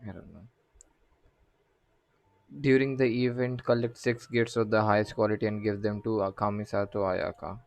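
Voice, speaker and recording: A man doing casual live game commentary, halting and muttering to himself as he streams. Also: on the low side, fairly even intonation, gravelly, a little mellow, dry recording, rough recording.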